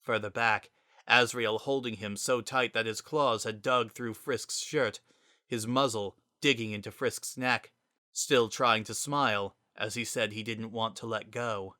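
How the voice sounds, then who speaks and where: A man's voice: fairly low pitch, fairly even intonation, unhurried, sharp tone, no room sound, clean studio sound. A man narrating a scripted dramatic story as its narrator.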